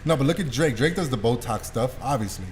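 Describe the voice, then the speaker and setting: A man's voice: medium pitch, lively intonation, fast, slight background noise. A man hosting a podcast, chatting casually and teasingly with plenty of swearing. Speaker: podcast host. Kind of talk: casual joking group chat.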